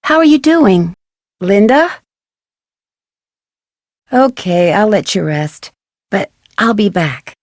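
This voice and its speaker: A woman's voice: medium pitch, sing-song, slow pace, long pauses, no room sound, studio quality. A woman voicing a young, friendly and persistent amateur detective in an adventure video game, speaking earnestly and politely. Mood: gentle concern. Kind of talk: scripted adventure game dialogue.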